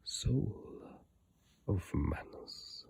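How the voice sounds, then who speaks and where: A man reading and commenting in hushed ASMR style into an iPhone mic, slow and drawn out, with a breathy, husky, mostly whispered voice. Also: a little hiss or hum. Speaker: ASMR game content creator.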